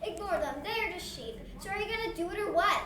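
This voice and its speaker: Woman playing a high-pitched sly, coaxing wolf. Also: expressive, fast talker, pushed and bright, exaggerated voice. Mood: persuasive. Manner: teasing, falsely friendly. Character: sly, coaxing wolf tricking a young shepherd. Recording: distant, echoey room with background noise.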